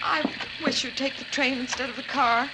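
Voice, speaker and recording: Woman playing worried suburban housewife, higher voice, pleading, hesitant, vintage radio drama, noisy recording. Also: steady tone, moderate pace, natural voice. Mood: anxious, pleading. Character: anxious, pleading middle-aged suburban housewife. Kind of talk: 1940s radio drama acting.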